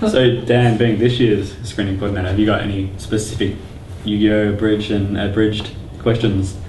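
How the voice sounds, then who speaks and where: Male podcast host, low register, informal presenting, picked up at a distance with clear noise behind him. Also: little pitch movement, normal speed, a little mellow. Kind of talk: casual podcast hosting. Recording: roomy, distant mic, clear background noise.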